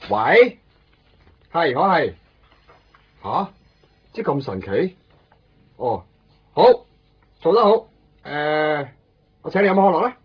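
Excitable Hong Kong police sidekick. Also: male voice, fairly high pitch, slow, long pauses, slightly rough, full character voice. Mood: eager, casual. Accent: Hong Kong Cantonese. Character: eager, chatty male junior policeman sidekick. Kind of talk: 1990s radio drama acting. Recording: dull, band-limited radio recording.

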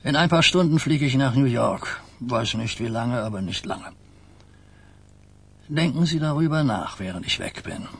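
An actor playing an old, wary mafia boss in a German radio crime drama, speaking in deliberate, blunt phrases. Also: male voice, medium pitch, lively intonation, creaky, breathy edge. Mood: businesslike, confiding. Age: older. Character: old New York mafia boss, burdened, wary, courteous.

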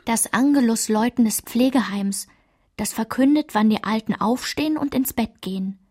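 An actress narrating rules and village routines in a radio play, in a clipped, matter-of-fact literary recitation. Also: female voice, on the high side, moderate pace, a little mellow, natural voice.

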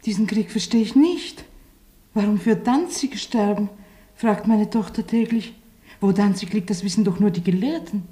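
Woman acting an anxious elderly widow, mellow timbre, fretful lament, roomy radio drama recording. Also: mid-range voice, normal speed, natural voice. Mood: alarmed, bewildered. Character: anxious, plaintive older French widow, a refugee.

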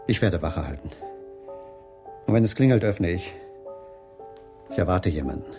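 An actor in a German radio drama playing a shattered, bitter husband with a terse, subdued delivery and weary resolve. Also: male voice, low voice, expressive, moderate pace, warm tone, soft background music. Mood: resigned, resolved.

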